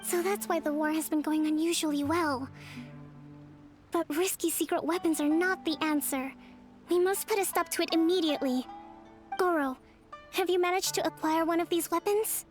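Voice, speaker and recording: An actress playing a calm, decisive young female army leader who speaks in a composed, commanding way. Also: very high-pitched, normal speed. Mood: urgent. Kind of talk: game voice acting. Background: game music.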